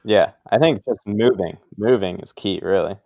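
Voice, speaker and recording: Low-voiced laid-back young man. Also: moderate pace, dry recording, dull audio. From Brazil. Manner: relaxed, loose, leans heavily on "like" and "um". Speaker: guest. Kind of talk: casual interview chat.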